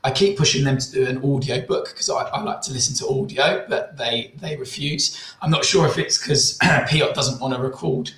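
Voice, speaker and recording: A man speaking as a guest on a property webinar over a video call, relaxed, with frequent ums. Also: mid-range voice, moderate pace, hardly any pauses. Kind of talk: casual webinar talk. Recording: echoey room on a video call.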